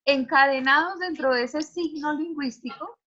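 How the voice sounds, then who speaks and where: A woman's voice: high voice, moderate pace, bright, forceful tone. Woman teacher explaining patiently, lo-fi sound. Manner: explanatory, uses many examples and fillers. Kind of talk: lecture to students.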